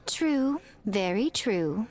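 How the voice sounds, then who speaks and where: A woman's voice: medium pitch, sing-song, extremely slow, a little hiss or hum. An actress playing an elegant, sly woman in a video game, teasing and playfully condescending in an airy, coy way. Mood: coy needling.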